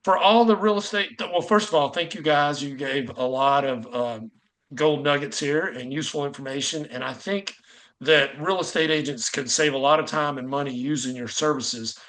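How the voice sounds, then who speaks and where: A man's voice: a little high, moderate pace, a bit bright. A man hosting a casual interview, chatty and informal, rambling a little as he talks with his guests. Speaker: podcast host interviewing guests.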